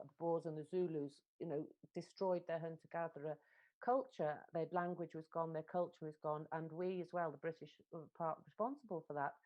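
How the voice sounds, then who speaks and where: A woman with a fairly low, very soft-edged mellow voice talks earnestly in a discussion. She reworks phrases as she makes an impassioned point. The audio is slightly dull and lo-fi. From Wales. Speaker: literary translator.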